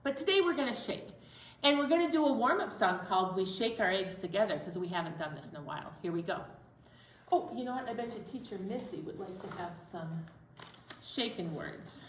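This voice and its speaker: A woman's voice: medium pitch, lively intonation, brisk. A woman hosting storytime, chatting casually and encouragingly to young children. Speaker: children's library storytime host. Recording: echoey, distant, noisy room.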